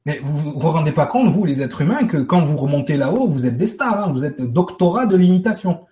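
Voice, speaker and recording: A man's voice: a little high, animated, brisk, dark tone. A man speaking informally as a guest on a live spiritual web show, acting out little exchanges as he explains. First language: French.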